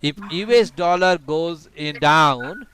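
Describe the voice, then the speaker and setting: A man's voice: a little high, animated, slow, fairly bright tone, smooth. A man whose first language is not English, teaching a live online trading class over a video call, talking with many fillers and restarts.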